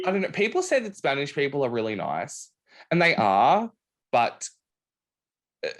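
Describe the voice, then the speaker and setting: Lively young man telling stories. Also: medium pitch. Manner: animated storytelling, many "like" fillers. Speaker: podcast host. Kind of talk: casual chat.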